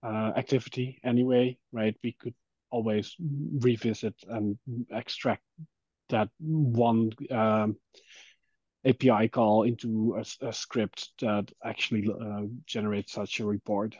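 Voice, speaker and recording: A man's voice: on the low side, fairly even intonation, unhurried, darkish tone, dry recording. A man in a casual work call discussion, talking informally and thinking aloud as he explains his view. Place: online call. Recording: dry, dull call audio.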